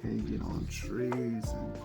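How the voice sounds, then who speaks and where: A woman with a very low voice reads a children's picture book as a bedtime story. She goes very slowly, stressing words dramatically and stretching out phrases. Music plays clearly behind her. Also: flat delivery.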